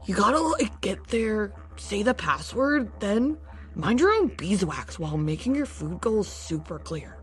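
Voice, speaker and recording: A woman's voice: medium pitch, very animated, a little slow, soft background music. A woman playing a sly, laid-back food vendor with a hesitant, slangy, confiding manner in a sci-fi audio drama. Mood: furtive. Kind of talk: science fiction audio comedy drama.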